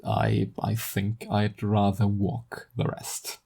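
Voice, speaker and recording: A paranoid middle-aged businessman in an audio drama as a solo narrator acts him out, low-key and dark in tone. He speaks haltingly and conspiratorially, insinuating his bigotry to whoever is listening. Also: monotone, creaky, husky, exaggerated voice. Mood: shaken.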